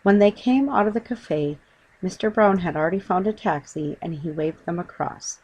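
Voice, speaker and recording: A woman's voice: on the low side, moderate pace, a little mellow, slightly rough, poor audio. A woman reading a children's story as an audiobook narrator.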